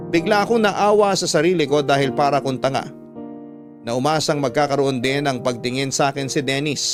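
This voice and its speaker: A man narrating a dramatic first-person story in a confessional, heartfelt manner. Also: medium pitch.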